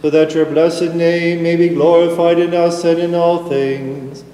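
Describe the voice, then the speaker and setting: A middle-aged priest intoning prayers, slow and solemn, with a mellow, darkish voice and a touch of lift in pitch. His chant rings out through a large church with a long echo, faint organ music underneath. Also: little pitch movement. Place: large church sanctuary at the altar. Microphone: far camera across the church. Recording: echoey church with long reverb.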